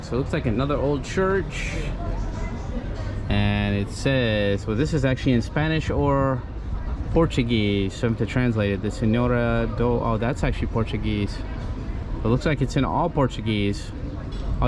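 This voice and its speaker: Guy vlogging on a walk by the river, chatty and excited, loud street noise all around. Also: medium pitch. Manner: enthusiastic, chatty, addresses viewers as guys. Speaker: travel vlogger. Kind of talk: casual walking tour narration. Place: outdoors on a busy riverside street.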